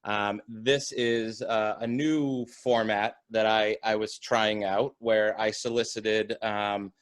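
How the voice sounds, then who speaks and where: A man talking casually on a panel as a theater producer, wordy and halting. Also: lowish voice, level tone, clear, resonant tone, no echo. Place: video call.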